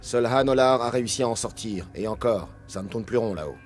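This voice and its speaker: An actor playing a weary, gruff security captain in a video game, speaking in a blunt, dry, colloquial way. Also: male voice, medium pitch, brisk, faint music behind, lightly acted. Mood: weary, sardonic. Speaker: guard captain.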